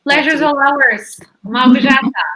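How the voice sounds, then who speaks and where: Panel host, woman, bright and enthusiastic, video-call sound. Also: sits high, measured pace, rough recording. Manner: upbeat, enthusiastic, warm welcome. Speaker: panel moderator. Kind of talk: online panel hosting.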